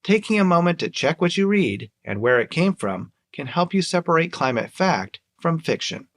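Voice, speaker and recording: Lively scripted male radio narrator. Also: a little high, moderate pace. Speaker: radio segment host.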